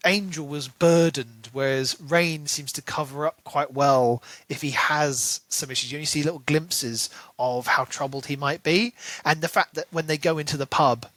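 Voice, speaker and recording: A man's voice: on the high side, fairly even intonation, moderate pace, few pauses, fairly bright tone, no room sound, low-quality recording. A man co-hosting a podcast in a casual book discussion, speculating aloud and posing questions as he goes. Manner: speculative, rhetorical questions, acts out quotes. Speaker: podcast co-host.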